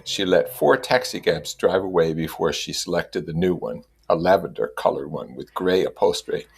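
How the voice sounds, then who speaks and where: A man's voice: deep voice, husky. A man reading a novel aloud as narrator, adding his own comments. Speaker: reader and commentator.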